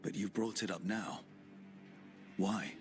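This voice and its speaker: A man's voice: on the low side, steady tone, slow, soft background music. A man playing a plain-spoken, determined adventurer hero in a video game, direct and matter-of-fact. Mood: questioning. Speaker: actor. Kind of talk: game cutscene acting, natural.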